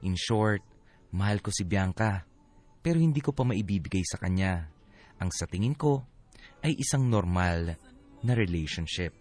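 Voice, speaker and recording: A man acting as a torn, heavy-hearted lover, narrating his story in first person for a radio drama in a reflective, measured way. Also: sits low, expressive, a little mellow. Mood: reflective, troubled.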